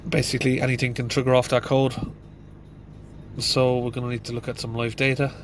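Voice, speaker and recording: A man's voice: mid-range voice, little pitch movement, fairly quick, a little hiss or hum. A middle-aged man, a mechanic with a congested voice from hay fever, thinking aloud inside a van. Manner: plain, thinking aloud with fillers. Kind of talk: casual diagnostic walkthrough.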